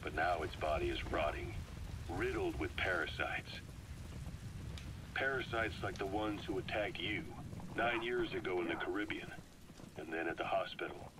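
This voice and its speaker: Male actor as veteran spy, very low voice, grave, measured dramatic briefing, game, horse hooves and noise behind. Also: lightly acted. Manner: deliberate, weighty phrasing. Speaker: intelligence briefer on an audio tape. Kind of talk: dramatic scripted briefing narration. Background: horse hooves and game ambience.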